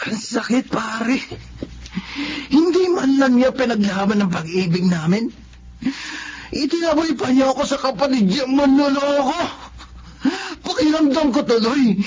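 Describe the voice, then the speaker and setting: A man's voice: very high voice, expressive, unhurried, a little mellow, slightly rough, dull audio, full character voice. An actor playing a heartbroken, drunken young man with a Filipino accent in a radio drama, slurring emotionally. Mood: anguished.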